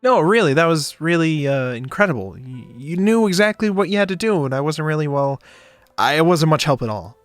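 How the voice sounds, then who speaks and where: A man voicing an awkward, grateful male spaceship passenger, halting and self-conscious, in a visual novel reading. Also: on the high side, sing-song, no echo. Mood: awkwardly grateful. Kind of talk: visual novel read aloud. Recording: dry close mic, background music.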